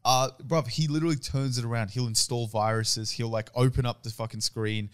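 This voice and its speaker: A man's voice: medium pitch, steady tone, a little fast, clear, resonant tone. Laddish young Australian man. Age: young adult. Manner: laddish, slangy, profane. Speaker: podcast co-host. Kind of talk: casual banter with friends.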